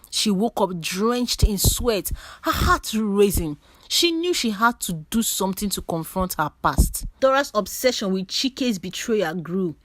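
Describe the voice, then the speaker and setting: A woman's voice: medium pitch, no echo. A woman reading a scripted dramatic story as a storyteller.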